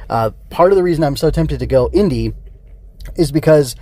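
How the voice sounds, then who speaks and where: A man's voice: fairly high pitch, animated, normal speed, mellow, dry recording. A middle-aged man hosting a solo podcast, talking casually and rambling with digressions. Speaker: podcast host. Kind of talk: casual solo review chat.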